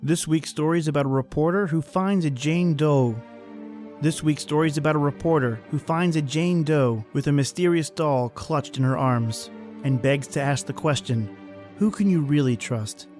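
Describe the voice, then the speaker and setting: A man's voice: fairly high pitch, normal speed, a little mellow, soft background music. A man hosting and narrating a horror anthology in a scripted, theatrical, ominous style. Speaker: podcast host and narrator.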